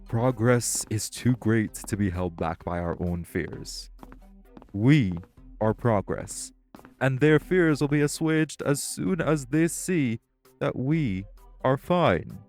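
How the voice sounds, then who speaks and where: A man playing a composed, self-assured tech company boss in an audio roleplay, speaking in a polished, measured, articulate way. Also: mid-range voice, animated, a little mellow, soft background music. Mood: resolute. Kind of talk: audio roleplay acting, one-sided dialogue.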